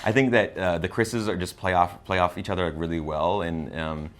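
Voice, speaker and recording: Low-voiced enthusiastic man. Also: steady tone, fairly quick. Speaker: co-host of a film review show. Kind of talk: casual review chat.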